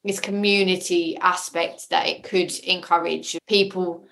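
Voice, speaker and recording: A woman talks casually as an interview guest. Her voice is a little low for a woman, and she comes across as enthusiastic about what she is describing. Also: fairly even intonation, measured pace, gravelly, fairly bright tone. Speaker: podcast guest, audio drama creator.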